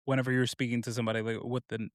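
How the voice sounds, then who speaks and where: A man's voice: mid-range voice, level tone, racing, no room sound, studio quality. A man in his thirties hosting a podcast, asking loose, rambling questions full of fillers. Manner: rambling, filler-heavy questions with frequent laughs. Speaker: podcast host. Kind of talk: casual interview chat.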